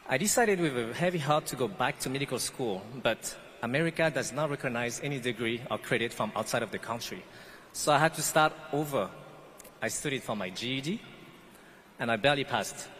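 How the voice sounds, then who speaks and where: A man's voice: medium pitch, a bit bright, slight background noise. A man from French Guiana, not a native English speaker, giving an earnest commencement speech about his life. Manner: earnest storytelling. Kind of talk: prepared speech. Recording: echoey hall, faint ambience.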